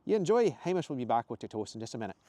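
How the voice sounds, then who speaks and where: A man voicing a friendly, chatty Scottish wife with a soft Scottish accent in a storybook reading. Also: mid-range voice, very animated, racing, pitched above the performer's own voice, close to an everyday voice. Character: friendly, softer-spoken red-haired Scottish wife.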